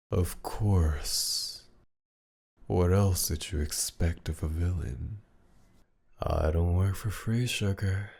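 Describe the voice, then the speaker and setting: A man playing a flirtatious, possessive villain in an intimate ASMR roleplay, teasing in a gravelly, breathy drawl that often sinks toward a whisper. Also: sits low, dark tone, full character voice. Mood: smug, dry.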